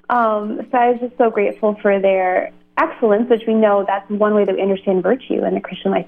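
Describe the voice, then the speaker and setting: A woman's voice: medium pitch, vocal fry. A woman who is a religious sister, telling her story as a radio guest in an earnest, chatty way with frequent "um"s. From United States. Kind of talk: radio interview over the phone. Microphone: telephone. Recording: tinny phone line.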